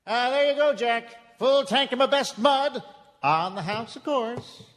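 A man playing an excitable, folksy older small-town cafe owner in a comic live radio play, with a hammy delivery. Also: very high voice, measured pace. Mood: flustered, friendly. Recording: echoey live theatre hall.

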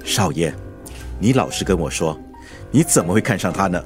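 An older, deferential family chauffeur with a Singaporean accent in a radio drama. His voice is fairly low and swings expressively as he frets and fusses. Light music sits behind him. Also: lively intonation, moderate pace. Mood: worried, deferential. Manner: deferential, fretful, stammering when flustered. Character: anxious, loyal old family chauffeur, fussy father. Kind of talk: Mandarin radio drama acting.

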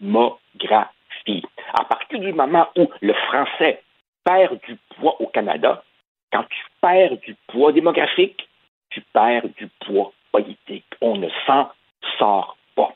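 A man's voice: mid-range voice, very animated, unhurried, slightly rough, no echo. Emphatic man in his fifties on a phone line. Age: 50s. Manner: emphatic, repeats words, addresses host by name. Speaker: commentator guest. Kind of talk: political commentary chat.

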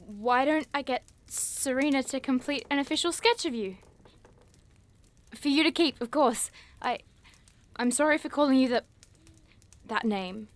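A woman's voice: sits high, unhurried, pauses often. A woman playing a curious, tactless young investigator in an audio drama, earnest and faltering as she talks. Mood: flustered, apologetic.

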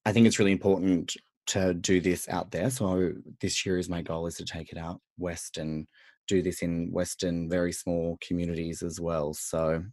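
A man's voice: deep voice, little pitch movement, moderate pace, darkish tone. An Australian man from the country hosting a podcast, chatty and upbeat, with lots of fillers. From central west New South Wales, Australia. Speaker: podcast host, drag performer. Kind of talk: casual podcast interview.

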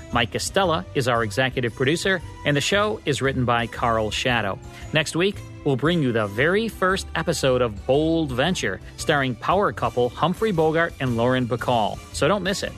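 A man presents as a radio co-host, reading a scripted history segment. His pitch is average for a man, and faint music runs beneath his voice. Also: animated, normal speed, a bit bright.

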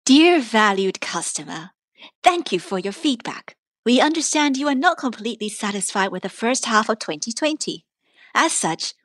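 A woman reading scripted literary prose aloud in a measured, articulate way. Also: medium pitch, fairly bright tone, no room sound, studio quality. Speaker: reader of prose and poetry.

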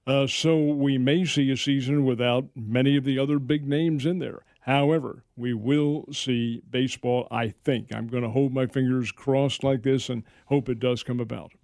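A man's voice: medium pitch, fairly even intonation, normal speed, creaky, few pauses, a little mellow, no echo. A man co-hosting a casual sports talk show, opinionated and folksy, with dry sarcastic asides. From Irish heritage. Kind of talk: casual sports radio chat.

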